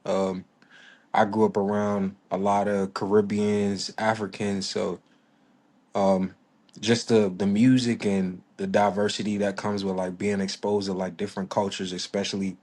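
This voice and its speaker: A man's voice: on the low side, level tone, a little slow. A man in his twenties from Virginia, a musician talking casually in an interview, laid-back and full of fillers. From Virginia, United States. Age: 20s. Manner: laid-back, many fillers, soft-spoken. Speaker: musician guest.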